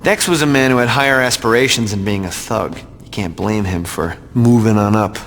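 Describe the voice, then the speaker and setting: A man's voice: on the low side, moderate pace, a bit bright, big-room sound, slight background noise. An actor playing a smug corporate man in a video game, bargaining with dry, polite, unruffled sarcasm. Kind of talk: video game cutscene acting.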